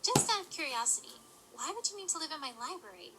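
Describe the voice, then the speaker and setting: A woman's voice: high-pitched, big pitch swings, quick pace, heavy background noise. A woman playing an inquisitive, studious young pony librarian in an amateur audio drama, precise and questioning. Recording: faint music behind.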